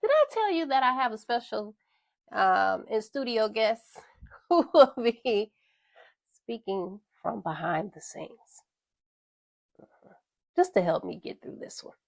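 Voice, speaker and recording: A woman's voice: on the high side, very animated, takes it slowly, creaky, long pauses, no room sound. A congested woman with a slightly stuffy voice, hosting a frank storytime podcast and addressing listeners directly. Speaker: podcast host. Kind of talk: storytime monologue.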